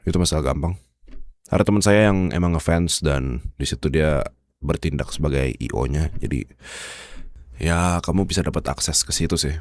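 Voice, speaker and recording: A man's voice: rumbling low, moderate pace, pauses often, dry recording, studio quality. A man with an Indonesian accent playing a demanding, sardonic boss in an ASMR roleplay, talking straight to the listener with pointed rhetorical questions. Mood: coaxing, exasperated. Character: demanding, bossy male boss who softens into bribing his manager.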